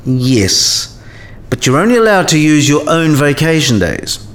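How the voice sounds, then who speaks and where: A man playing a cynical, sidelined professor in a radio play, explaining things in a wry, didactic way with measured phrasing. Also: on the high side, very animated. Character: cynical, sidelined male professor giving career advice.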